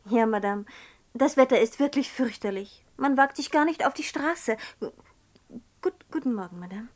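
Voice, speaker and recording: A woman's voice: on the high side, expressive, moderate pace, close to an everyday voice. An actress playing a pert, world-weary saleswoman in a 1960s radio play, blunt and chatty under her shop manners. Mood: polite. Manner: polite to customers, blunt and chatty otherwise.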